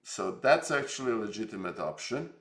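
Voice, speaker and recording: Low gravelly man, roomy echo. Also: very animated, a little fast, a bit bright. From Serbia. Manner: rambling, hesitant, filler-heavy, jokey. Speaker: streamer host. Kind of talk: casual live stream chat.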